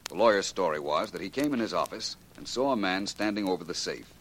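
An actor playing a composed, analytical detective in a 1940s radio drama, with measured, assured delivery. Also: male voice, low-pitched, expressive, fairly bright tone. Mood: assured explaining. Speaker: lead actor in a detective series. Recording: noisy old radio recording with hum.

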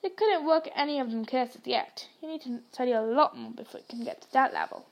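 Gruff kindly giant gamekeeper, read by a woman; hearty, raised, fairly high. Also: brisk, rough recording.

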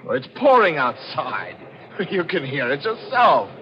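Rattled, slow-talking guilty man. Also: a little high, huge pitch swings, slight background noise. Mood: panicked. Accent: American. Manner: halting, repeating words in agitation. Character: guilty, rattled man bluffing his innocence. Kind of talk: 1940s radio acting, first-person narration and dialogue. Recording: muffled old radio broadcast, faint music.

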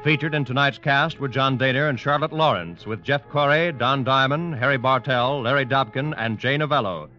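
A man reading scripted credits as a formal, measured mid-century radio announcer. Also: medium pitch, pushed and bright, soft background music. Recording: dull old radio broadcast recording.

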